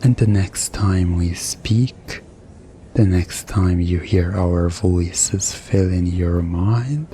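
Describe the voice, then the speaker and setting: A man playing a mischievous, smugly commanding hypnotist in an ASMR roleplay, soothing and teasing with deliberate pauses. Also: sits low, mellow, a little hiss or hum.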